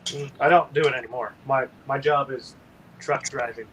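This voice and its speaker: A man's voice: mid-range voice, steady tone, normal speed, a bit bright, a little hiss or hum, rough recording. A man hosting a casual painting livestream, rambling and sleepy with lots of ums.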